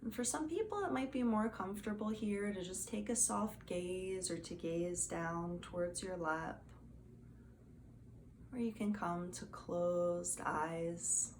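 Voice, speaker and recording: A woman leads a guided meditation very slowly, with a soothing, gentle delivery. Her voice sits a little low and has a noticeable creak. There is faint noise behind her.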